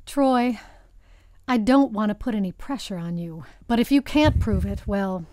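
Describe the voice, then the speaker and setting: A woman's voice: mid-range voice, a little slow, dark tone, no echo, natural voice. A woman playing a boy's mother in audiobook dialogue, earnest and matter-of-fact. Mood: serious, gentle. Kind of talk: full-cast audiobook dialogue.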